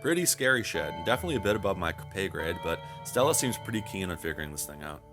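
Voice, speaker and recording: Very deep-voiced, brisk male reviewer over music. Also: sing-song. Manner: wry, wordy, casually profane. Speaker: video game reviewer. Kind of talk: scripted narration for a game review.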